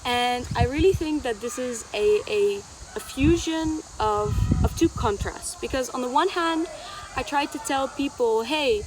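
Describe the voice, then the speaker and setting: Female student, 19, raised in Tanzania, higher voice, self-introduction recorded amid noticeable outdoor ambience. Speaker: university student, columnist nominee. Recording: noisy outdoor ambience with insects.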